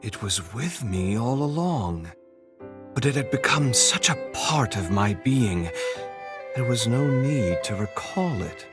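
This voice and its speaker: A man's voice: mid-range voice, lively intonation, takes it slowly, over music. An actor playing a flamboyant, centuries-old nobleman in a video game, with a theatrical, courtly and pompous delivery. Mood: reflective, grateful. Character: flamboyant, noble, centuries-old immortal man, grandiose and devoted. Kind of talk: video game character acting.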